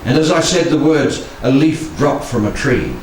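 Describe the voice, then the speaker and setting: A man in his sixties reciting poetry solemnly, with measured, dramatic phrasing and pauses, into a handheld microphone in a room. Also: mid-range voice, animated. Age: 60s. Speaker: poetry reader. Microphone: handheld stage microphone. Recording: very echoey room, distant, faint noise.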